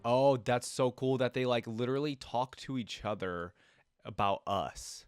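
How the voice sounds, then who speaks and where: A man's voice: medium pitch, a little slow, a little hiss or hum. A man hosting a reaction video, chatting casually and thinking aloud as he comments.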